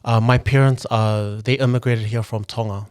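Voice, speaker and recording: A young man from New Zealand, a guest on a podcast, talking earnestly and reflectively with frequent pauses and restarts. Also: medium pitch, steady tone, darkish tone, smooth, no echo, studio quality. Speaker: guest, local politician and engineer. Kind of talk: casual podcast conversation.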